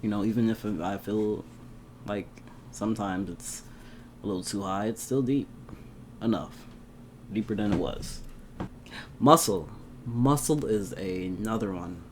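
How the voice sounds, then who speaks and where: A young man chatting casually to his camera at home, laughing often and getting animated at times. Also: fairly low pitch, a little slow, pauses often, a little hiss or hum. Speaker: vlogger. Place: indoors at home.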